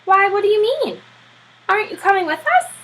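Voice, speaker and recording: A woman's voice: very high-pitched, big pitch swings, fairly quick, fairly bright tone, voice pushed up, exaggerated voice. A woman voicing a hearty male admiral who leads polar expeditions in a storybook, speaking emphatically. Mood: surprised, insistent.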